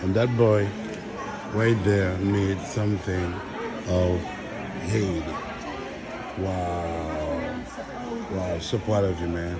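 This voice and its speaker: Guy doing a casual interview, hyping someone up, repeats himself, really loud crowd all around. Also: low voice, steady tone, slow pace, long pauses, loud music behind the voice. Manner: encouraging, exclaiming, repeats phrases. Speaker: interviewer filming a school project fair. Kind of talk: casual chat, interviewing a child. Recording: loud crowded public room, noisy. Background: loud crowd chatter at a busy school event.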